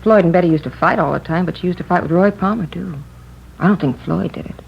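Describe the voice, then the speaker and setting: An actress playing a chatty, distracted market counter clerk in a naturalistic 1950s radio drama, speaking casually and haltingly. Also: female voice, lowish voice, creaky. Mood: casual, recalling. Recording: dull, faintly noisy old radio recording.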